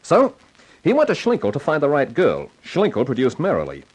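An actor playing a self-assured, slangy, wry amateur sleuth in a 1950s radio crime drama. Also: male voice, fairly high pitch, wildly swooping pitch, brisk, darkish tone, husky, exaggerated voice. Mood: confident. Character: wisecracking, hard-boiled male amateur sleuth, self-assured.

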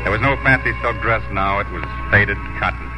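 An actor playing a determined young Texan home from war, earnest and resolute, in 1950s radio drama style. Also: male voice, on the low side, a little fast, lightly acted. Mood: wistful narration. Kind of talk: 1950s radio drama acting and first-person narration. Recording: dull 1950s radio recording, music behind.

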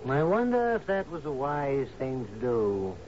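A loyal, plain-spoken servant of a sea captain answers in short, guarded, folksy phrases. His voice sits at an ordinary male pitch. It comes from a vintage radio drama with a dull sound and faint noise. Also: very animated, unhurried, darkish tone. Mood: sombre. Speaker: actor in a radio mystery drama. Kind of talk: 1970s radio drama acting. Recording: muffled, hissy old radio broadcast.

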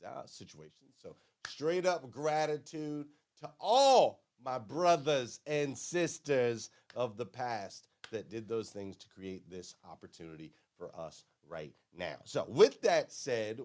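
A man's voice: deep voice, darkish tone. A man hosting a live-stream talk show, upbeat and enthusiastic, often tagging on "man" and "right?". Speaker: show host.

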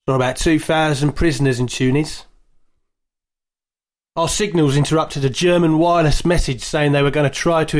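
An actor reading as a British army sergeant-major, giving plain, conversational diary entries. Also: male voice, on the high side, close to an everyday voice. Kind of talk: reading a wartime diary aloud.

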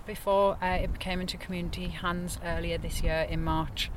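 A woman's voice: lowish voice, little pitch movement, moderate pace, slight background noise, poor audio. A woman from Sunderland, an estate manager, explaining things steadily with many fillers and restarts in an outdoor interview. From Sunderland, north-east England. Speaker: nature reserve estate manager. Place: open moorland outdoors.

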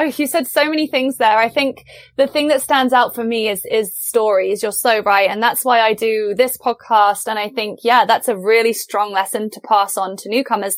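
A woman's voice: on the high side, dry recording. A woman hosting a podcast interview, enthusiastic and affirming toward her guest. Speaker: podcast host. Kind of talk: conversational interview.

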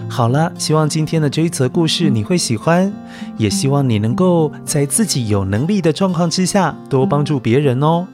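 A man's voice: fairly high pitch, lively intonation, normal speed, over music. A man with a Taiwanese Mandarin accent hosting a children's story podcast, explaining things simply and coaxingly to young listeners.

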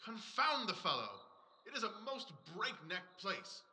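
An actor playing a brisk, keen, self-assured male detective in a dramatised audiobook, with clipped, commanding speech. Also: high voice, very animated, pushed and bright, full character voice. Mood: straining, intent.

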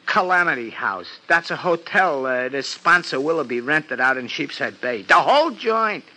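A man's voice: fairly high pitch, lively intonation, moderate pace, pushed and bright, poor audio. An actor playing an anxious, streetwise city florist in a 1950s radio detective drama, talking in slangy, ungrammatical tough-guy style. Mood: worried, proud.

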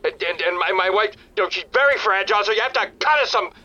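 A man's voice: high voice, fast talker, bright, forceful tone, lo-fi sound. An actor playing a distraught, protective husband and father in an audio drama, stammering and pleading.

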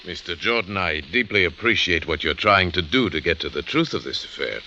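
An actor playing a courteous, guarded, reserved gentleman in an old-time radio drama, polite and formal in manner. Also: low voice, expressive, a little fast, over music. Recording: dull vintage radio recording, faint noise.

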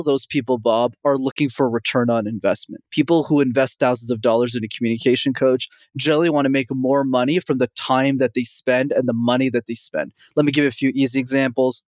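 A man's voice: medium pitch, no room sound. Upbeat young Canadian man, dry sound. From Montreal, Canada. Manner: energetic, confident, frequently addresses host by name. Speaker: communication coach, guest. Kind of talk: podcast interview answers.

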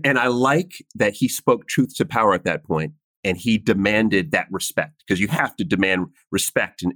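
A man's voice: medium pitch, a bit bright, no room sound. A man interviewing a guest, affirming her answers and stammering into his questions.

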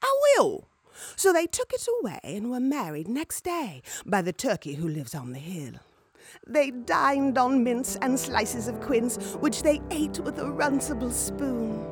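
A woman presenting and reading in a polished, expressive scripted narration style. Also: fairly high pitch, a little slow, husky, soft background music. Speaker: presenter and reader.

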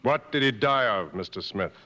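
An actor playing a resolute adventurer in a 1950s radio drama, speaking with urgent authority. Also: male voice, fairly low pitch, animated, moderate pace, pushed and bright. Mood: probing. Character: commanding adventurer, hunter leading jungle escape, resolute. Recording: muffled old radio broadcast recording.

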